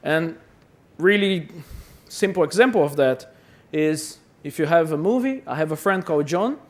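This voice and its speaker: A man's voice: a little high, lively intonation, moderate pace. A man from Brazil giving a chatty, self-deprecating conference talk, often repeating words as he goes. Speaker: conference speaker. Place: conference hall.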